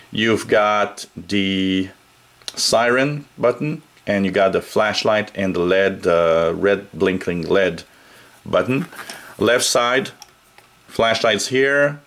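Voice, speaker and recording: A man giving a casual hands-on gadget review, explaining things plainly and haltingly as the reviewer. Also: on the low side. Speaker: product reviewer. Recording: noisy home recording, steady background noise.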